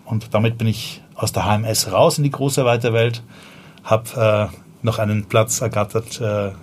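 A man's voice: on the low side, fairly even intonation, normal speed, a little hiss or hum. Viennese man, loose slangy chat. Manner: loose and slangy, frequent fillers and self-restarts. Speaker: podcast guest, startup founder. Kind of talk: casual conversational storytelling.

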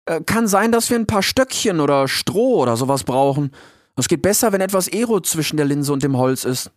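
A man's voice: fairly high pitch, animated, a little fast, no echo, clean studio sound, pitched above the performer's own voice, natural voice. A man voicing an eager, nervous young male fox who talks hesitantly. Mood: earnest.

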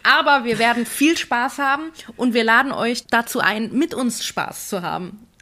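A woman's voice: fairly high pitch, moderate pace, pushed and bright. A woman from Frankfurt with a Hessian accent, co-hosting a casual pop culture podcast and steering the talk. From Frankfurt, Germany. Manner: upbeat, wraps up and leads segments. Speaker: podcast co-host. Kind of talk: casual chat. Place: home in Munich.

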